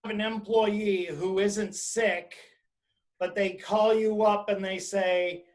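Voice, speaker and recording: A man giving an explanatory webinar presentation as an attorney, speaking deliberately and emphatically in short phrases over a video call. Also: high-pitched, level tone, a little mellow, rough recording. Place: indoors, on a video conference call.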